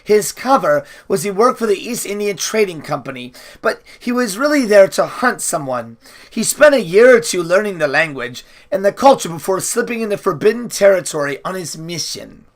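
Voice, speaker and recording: A man's voice: high voice, very animated, moderate pace, pitched above the performer's own voice. Man acting a nervous, wailing little man. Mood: nervous explaining. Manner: hesitant, wailing, stammering. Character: small, miserable, nervous man, a shirking messenger.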